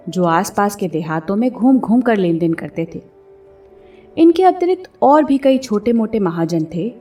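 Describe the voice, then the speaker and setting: A woman giving an expressive, scripted narration of a classic story, like an audiobook reader. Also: mid-range voice, far from the mic. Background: background music.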